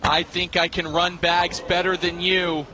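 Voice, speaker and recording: High-pitched, emphatic male sports analyst. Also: little pitch movement, measured pace, bright, forceful tone. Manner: dramatic, emphatic explaining of the stakes. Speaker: color analyst sports commentator. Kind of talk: live TV analysis of a cornhole championship. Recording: clear venue noise behind the voice. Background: noisy venue ambience.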